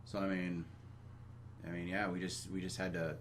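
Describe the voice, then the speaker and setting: A man chatting casually as a podcast co-host, halting and repeating himself, with some swearing. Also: low voice, little pitch movement. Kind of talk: casual chat. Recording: clearly audible hum and noise.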